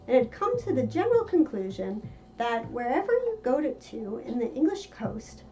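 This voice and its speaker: A woman reading a children's story aloud in a theatrical, expressive manner. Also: sits high. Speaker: storybook reader, cosplayer in character. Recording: muffled lo-fi with music behind. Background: faint music.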